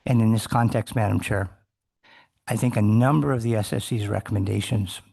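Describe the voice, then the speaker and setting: A man giving formal public testimony at a fishery council meeting, answering a question in a measured way. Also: fairly low pitch, steady tone, gravelly, warm tone, breathy edge.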